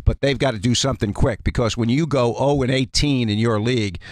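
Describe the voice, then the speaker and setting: A man giving conversational sports analysis as a radio guest, explaining things in a halting way. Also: mid-range voice, no echo, studio quality. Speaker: guest college basketball analyst. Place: radio studio.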